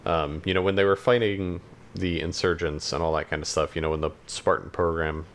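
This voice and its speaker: A man co-hosting a podcast in casual chat, talking in a hesitant, halting way. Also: low voice, level tone. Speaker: podcast co-host.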